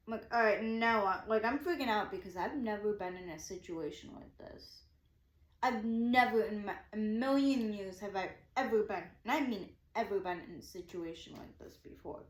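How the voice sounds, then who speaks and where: A woman in her twenties telling a story casually, rambling and repeating herself as she quotes her own thoughts. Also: medium pitch. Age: 20s. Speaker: vlogger. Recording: echoey room.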